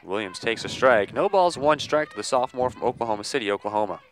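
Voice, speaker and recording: A man calling a softball game live on the radio, measured between plays and ready to burst into excited calls. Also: medium pitch. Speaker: play-by-play sports commentator.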